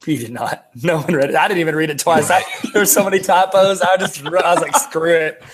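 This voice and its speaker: Male podcast co-host, higher register, jokey and self-mocking, loose casual banter. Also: fairly quick, slight background noise. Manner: self-deprecating, joking.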